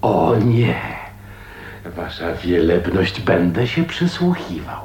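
Rough, rustic older man, animated and roomy. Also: low voice, slow, heavily acted. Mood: defiant, mocking. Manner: folksy, blustering, mock-deferential. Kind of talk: Polish radio drama acting. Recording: roomy echo, faint noise, 1980s radio drama.

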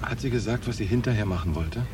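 An actor playing a calm middle-aged police detective, matter-of-fact and probing, in a radio drama. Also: male voice, fairly low pitch, quick pace, close to an everyday voice. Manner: matter-of-fact, inquisitive, composed. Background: music, traffic and street sounds.

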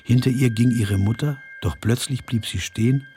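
An actor playing an anguished camp prisoner bearing witness in a radio drama, with solemn, deliberate, sorrowful delivery. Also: male voice, medium pitch, warm tone, slight background noise, lightly acted. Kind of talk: dramatised testimony reading for radio. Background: music.

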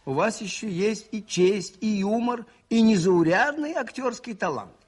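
Shrewd, husky, animated priest detective. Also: male voice, high voice, a little slow, heavily acted. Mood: earnest persuasion. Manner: musing, ironic, expressive and conversational. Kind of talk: Soviet radio play acting.

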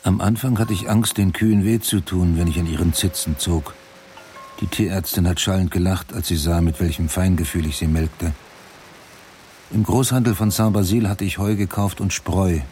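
Actor as a deep-voiced solitary farmer. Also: male voice, moderate pace, dark tone, slight background noise, close to an everyday voice. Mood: reflective. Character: solitary, hard-working farmer recalling his labours. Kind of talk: reflective radio drama narration.

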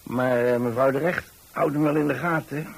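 A man's voice: mid-range voice, steady tone, moderate pace, creaky, rough recording. An actor playing a kindly older landlord in a radio monologue, chatty and hesitant as he rambles along. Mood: amused. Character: kindly rambling old pensioner landlord, widower-like homebody.

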